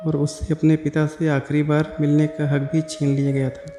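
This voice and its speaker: A man's voice: a little high, completely flat delivery, moderate pace, lightly acted. A man voicing a firm, reproachful elderly mother defending her daughter in a moral story. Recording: echoey hall-like reverb over music.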